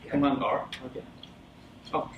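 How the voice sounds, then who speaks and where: A man from Sweden presenting casually at a tech meetup, with many fillers and a relaxed, rambling delivery. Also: normal speed, dull audio. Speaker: conference speaker. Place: lecture room. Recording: roomy, distant with audible background noise.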